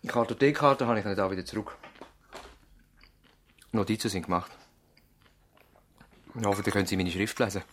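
An actor playing an inquisitive, courteous visiting doctor in a conversational radio drama. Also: male voice, lowish voice, moderate pace, pauses often, a bit bright, a little hiss or hum, natural voice. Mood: inquiring.

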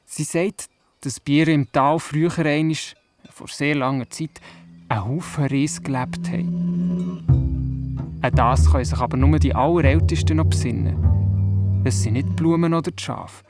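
A man's voice: fairly high pitch, a little mellow. A male performer playing a curious Swiss city boy who narrates an audio play, with a Swiss German accent. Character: curious city boy telling of his grandmother. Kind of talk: storytelling narration in a radio play.

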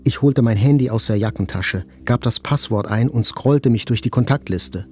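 An actor playing an attack survivor, telling events in a sober, precise, scripted literary narration. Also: male voice, medium pitch, normal speed, a little mellow, soft background music, lightly acted. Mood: sober, detached.

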